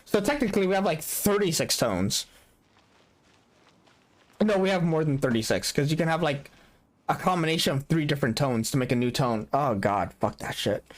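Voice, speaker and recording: A man's voice: fairly high pitch, expressive, normal speed. A young adult man streaming a game in casual chat, joking about himself and laughing often. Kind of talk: casual live-stream chat while gaming.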